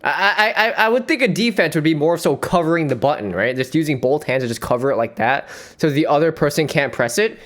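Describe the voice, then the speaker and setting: Animated joking male streamer. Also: a little high, fairly quick, hardly any pauses. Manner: rambling, playful, breaks into laughs. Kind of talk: joking reaction commentary.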